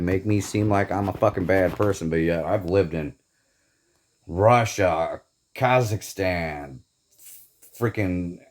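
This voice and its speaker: Brief: low-pitched American man from the Midwest, angry and swearing, vlog rant. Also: a little mellow. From Northeast Indiana, USA. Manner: bitter, profane, emphatic complaining. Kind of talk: angry personal rant to camera.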